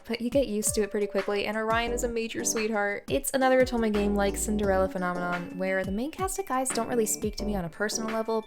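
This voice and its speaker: A woman giving casual review commentary as a video host, chatty, opinionated and self-deprecating. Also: medium pitch. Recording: voice-over with clear background music. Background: music.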